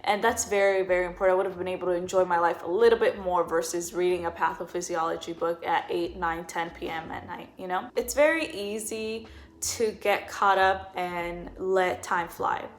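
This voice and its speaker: A woman's voice: fairly low pitch, fairly even intonation, moderate pace. A woman in her twenties talking earnestly in a personal vlog. She often repeats words for emphasis. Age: 20s. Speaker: vlogger.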